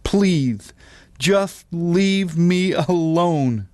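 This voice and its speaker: A man's voice: a little high, very slow, a little mellow, no echo, pitched above the performer's own voice. A man voicing a defiant son who mocks and taunts his mother in an audiobook, dismissive in manner. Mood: irritated. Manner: mocking, dismissive. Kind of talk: audiobook character voice.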